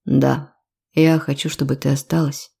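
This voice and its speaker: A woman voicing a self-assured, quietly commanding male lover in a romance audiobook, measured and teasing. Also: very deep voice, flat delivery, gravelly, husky, exaggerated voice.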